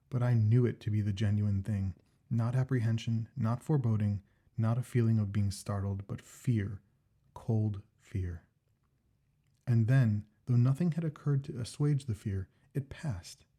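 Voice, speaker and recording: Husky, mellow male story reader. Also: lowish voice. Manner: measured, expressive, deliberate phrasing. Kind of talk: scripted narration of a classic ghost story.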